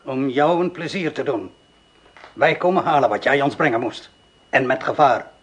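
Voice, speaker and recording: A sly criminal with an insolent, mocking manner, voiced by a male actor. His voice is husky and breathy. It is a part in a radio play. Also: medium pitch, moderate pace, natural voice. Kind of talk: radio drama acting.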